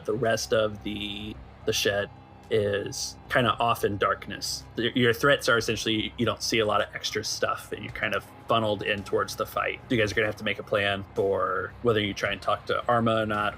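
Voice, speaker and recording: A man narrating a tabletop roleplaying game as game master, setting scenes in a halting, conversational way. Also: on the low side, gravelly, sharp tone, slight background noise.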